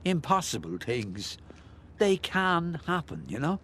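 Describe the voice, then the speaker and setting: An older Irish bricklayer in a radio drama, with a voice pitched a little high. He is chatty and nosy, musing and hesitating as he rambles on, full of wonder. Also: sing-song, takes it slowly, pushed and bright, slight background noise, heavily acted. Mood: wistful, amazed. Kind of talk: radio play acting.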